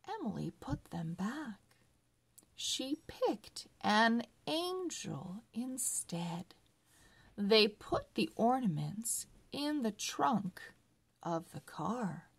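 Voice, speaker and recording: A woman narrates a children's storybook for early readers at a very slow, deliberate pace. Her voice is husky, and her pitch moves in lively swings as she places each word. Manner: deliberate, word-by-word read-aloud phrasing.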